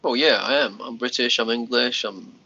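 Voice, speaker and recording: A man's voice: mid-range voice, normal speed, creaky, pushed and bright, slightly rough. A man in his thirties with a Scottish accent, chatting casually to viewers on a livestream from his bedroom, rambling and restarting his words, a little congested. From Scotland. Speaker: livestream host. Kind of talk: casual live chat with viewers. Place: small bedroom, lying on a sofa. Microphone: phone held at arm's length. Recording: lo-fi phone livestream audio.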